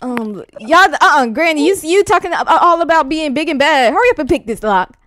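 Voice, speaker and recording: A woman improvising a comic online game roleplay, bossy and excitable, talking in slang. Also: high voice, a little fast. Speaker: roleplay video player.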